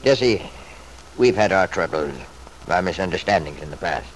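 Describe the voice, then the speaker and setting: A man's voice: low-pitched, animated, normal speed. An actor playing a stubborn, selfish middle-aged father in an old-time radio soap, blustering and self-justifying in an emphatic way. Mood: confiding, dismissive. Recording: noisy vintage radio drama recording.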